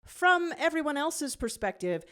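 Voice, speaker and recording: A woman narrating a scripted fan fiction story in an expressive reading style. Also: sits high, a little slow.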